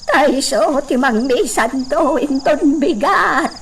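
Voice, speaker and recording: A woman's voice: high voice, slow pace. An actress playing a concerned, motherly older village woman in a radio drama, with an Ilocano accent. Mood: solemn, caring. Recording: distant mic, noisy outdoor-insect ambience.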